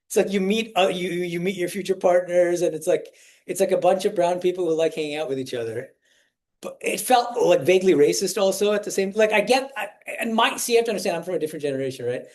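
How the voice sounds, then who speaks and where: Higher-voiced man giving a chatty spiritual talk. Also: fast, a little mellow. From United States. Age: 40s. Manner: conversational, often restarts phrases and quizzes the listeners. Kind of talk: informal spiritual talk with audience questions.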